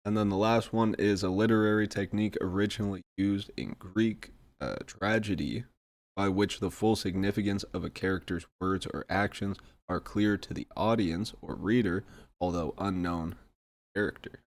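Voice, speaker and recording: A man with a hoarse throat, getting over an illness, hosting a solo podcast in a reflective, rambling way. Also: fairly low pitch, fairly even intonation, moderate pace. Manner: reflective, rambling, many fillers. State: hoarse, recovering from a sore throat. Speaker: podcast host. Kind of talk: casual solo podcast talk.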